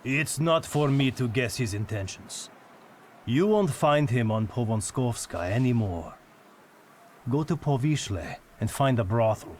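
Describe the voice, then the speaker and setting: A man's voice: medium pitch, close to an everyday voice. An actor playing a brusque, cryptic henchman in a video game, curt and commanding. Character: brusque, cryptic male henchman of a mystic master. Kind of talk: dramatic video game acting. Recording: clear background noise.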